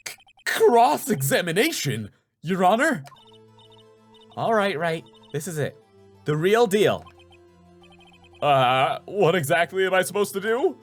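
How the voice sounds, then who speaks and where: A man's voice: high-pitched, big pitch swings, slow, pauses often, faint music behind, voice pushed up, heavily acted. A man voicing a nervous young rookie defense lawyer in a video game, stammering and flustered. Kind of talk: dramatic video game dubbing.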